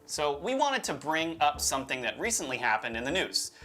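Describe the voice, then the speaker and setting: A man's voice: a little high, very animated, fairly quick. A man co-hosting a UFO show with informal, opinionated conversational commentary. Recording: music behind the voice. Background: background music.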